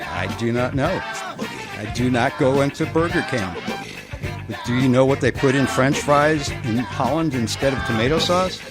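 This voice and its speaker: A man's voice: mid-range voice, normal speed, lightly acted. A man playing a chatty, worldly hitman in a stilted radio comedy. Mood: amused, knowing. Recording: guitar music behind dialogue.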